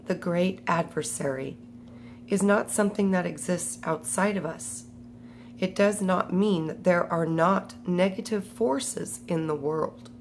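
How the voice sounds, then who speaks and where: A woman with a cold and a strained voice, reading a spiritual book aloud and adding her own comments in a slow, halting way. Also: lowish voice, a little hiss or hum.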